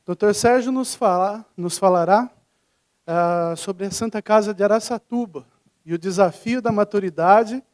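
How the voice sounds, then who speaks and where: Casting: Brazilian man, high voice, formal event presenter for introductions. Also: moderate pace, a little mellow.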